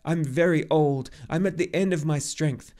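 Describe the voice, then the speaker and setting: A man's voice: medium pitch, normal speed, a little mellow, close to an everyday voice. A man voicing a very old, grave centaur in an audiobook story, with an emphatic, exclamatory manner. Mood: weary. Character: very old agitated centaur messenger, grave and weary.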